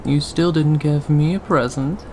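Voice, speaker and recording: A man acting an obsessive, sadistically tender lover in a scripted ASMR roleplay, taunting with breathy chuckles. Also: a little high, steady tone, a little slow, darkish tone, slight background noise, natural voice, poor audio. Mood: wounded, tenderly taunting.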